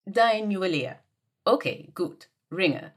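A flustered young female assistant, read by a woman meets each demand with baffled, exasperated questions. She speaks slowly and deliberately, and her pitch swings widely up and down. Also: animated, husky. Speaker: podfic reader. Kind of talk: German audio drama reading.

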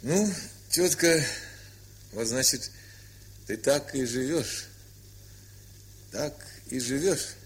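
An actor playing a frank, calm, good-natured grown nephew who gently banters in a radio play. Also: male voice, medium pitch, slow, long pauses. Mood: earnest. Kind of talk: Soviet radio play acting.

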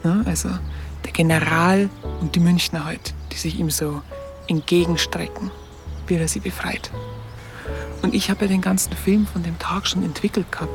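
A woman's voice: fairly low pitch, steady tone, normal speed, music in the background, natural voice. An actress playing a photographer recalling her wartime youth in a radio drama, with a Bavarian accent and a hesitant, colloquial, confiding way of telling it. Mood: reflective, confiding. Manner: hesitant, colloquial, reflective storytelling. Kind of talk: intimate first-person radio drama recollection.

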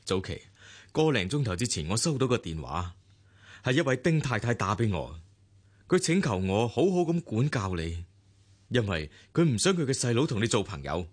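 An actor with a Hong Kong Cantonese accent playing a bitter, insistent, aggrieved husband in a radio drama, with a husky voice. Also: male voice, fairly low pitch, sing-song, moderate pace. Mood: bitter reproach.

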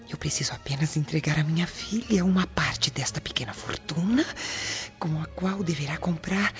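Actress as greedy scheming maidservant, very deep female voice, hushed, wary, dull audio, soft music behind. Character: wary, greedy, criminal Roman servant mother. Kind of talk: radio drama acting.

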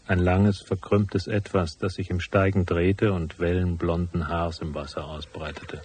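An actor playing a cool, laconic private investigator who narrates his case in a radio drama, with a husky voice. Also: sits low, little pitch movement, unhurried, darkish tone, a little hiss or hum. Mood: cool, brooding. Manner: laconic, matter-of-fact.

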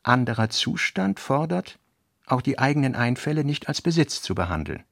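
A man reading literary notes for a radio play in a measured, sober manner. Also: mid-range voice, sing-song, creaky, no echo, studio quality.

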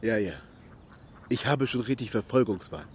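A man's voice: fairly low pitch, expressive, moderate pace, a little hiss or hum, lightly acted. An actor playing a proud, hunted young migrant man from Ghana in a radio drama, speaking in short clipped sentences. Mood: anxious, defiant.

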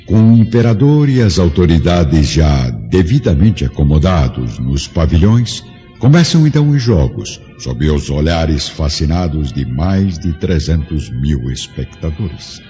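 Homem narrando, timbre escuro, som abafado, trilha musical suave. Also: male voice, very deep voice, big pitch swings, mellow. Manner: grave, measured storytelling. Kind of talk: dramatic radio drama narration. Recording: muffled audio with music score.

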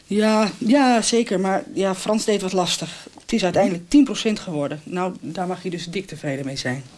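A woman's voice: fairly low pitch, moderate pace, a little mellow, natural voice. An actress playing a relieved, chatty woman visiting friends in a radio soap, a little hesitant. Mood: confiding.

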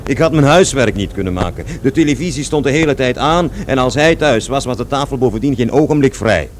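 A man's voice: medium pitch, lively intonation, fairly quick, a little hiss or hum, natural voice. An actor in a radio play playing an aggrieved, rambling man who recalls his past in conversational, self-correcting speech. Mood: indignant. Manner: digressive, self-correcting, repeats words emphatically.

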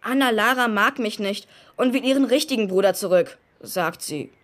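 A woman's voice: medium pitch, animated, moderate pace, lightly acted. An actress playing a cheeky, blunt young superhero boy in a children's radio play. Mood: matter-of-fact.

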